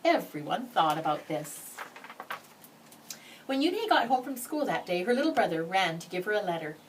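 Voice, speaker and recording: A woman reading a children's storybook aloud in an expressive narration, with deliberate phrasing and strong emphasis. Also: medium pitch. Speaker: storybook reader. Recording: slightly distant mic, faint background noise.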